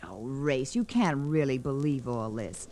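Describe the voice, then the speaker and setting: Swooping, spoiled society debutante. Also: female voice, very deep voice, animated, a little slow, mellow. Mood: dismissive. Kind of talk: 1940s radio drama acting. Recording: noisy vintage 1940s radio recording.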